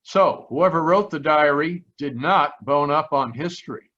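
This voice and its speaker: A man narrating an old-time radio mystery as the detective himself, in a measured voice a touch higher than most. The sound is slightly dull, as from a home microphone on a video call. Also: over music. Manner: measured first-person storytelling. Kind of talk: 1940s radio drama narration.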